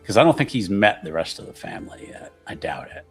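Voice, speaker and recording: Deep-voiced man in casual roleplay chat. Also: big pitch swings, fast talker, breathy edge, soft background music. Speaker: player in a tabletop roleplaying game.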